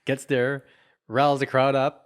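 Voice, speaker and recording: A man's voice: medium pitch, flat delivery, clear, resonant tone. A man co-hosting a podcast, reacting and asking questions in casual conversation. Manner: engaged, interjecting, quick to react. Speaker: podcast co-host.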